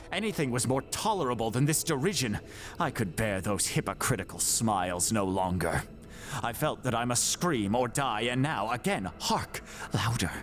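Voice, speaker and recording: A theatrical, wildly swinging mad murderer. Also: male voice, mid-range voice, pitched above the performer's own voice. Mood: frantic, raving. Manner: theatrical, swinging from hushed whispers to shrieks. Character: mad, cunning murderer confessing, gleeful then frantic. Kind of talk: dramatic gothic horror reading.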